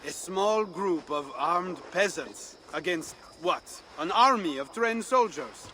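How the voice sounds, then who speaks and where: An actor playing a cynical French rebel leader in a video game, sardonic and guarded, with a French accent. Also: male voice, high-pitched, animated, takes it slowly, pushed and bright, slight background noise, heavily acted. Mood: wry, reflective. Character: wry, cynical male French rebel leader, secretly softhearted. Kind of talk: dramatic video game acting.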